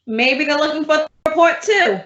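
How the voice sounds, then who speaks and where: A wisecracking schoolmarm acted by a woman from a radio comedy, dry and sardonic in her delivery. Her voice is high and bright, in a roomy recording. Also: normal speed. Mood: deadpan, dutiful. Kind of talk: old-time radio comedy acting. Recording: roomy, dull lo-fi sound.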